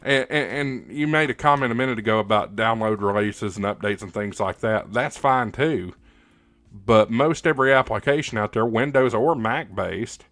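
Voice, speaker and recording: A man hosting a casual hobby livestream, answering comments in a halting, plain-spoken, opinionated way, often repeating words as he goes. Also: medium pitch, a bit bright, no room sound. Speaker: livestream host, ham radio hobbyist.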